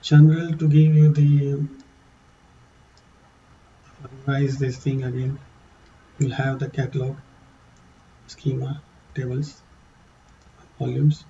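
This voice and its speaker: A man with an accent walking through a software demo in a halting, casual way, with many fillers and restarts. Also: medium pitch, flat delivery, creaky, highs cut off, slight background noise. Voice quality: non-native English accent. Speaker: tutorial presenter. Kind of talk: casual screen-share walkthrough.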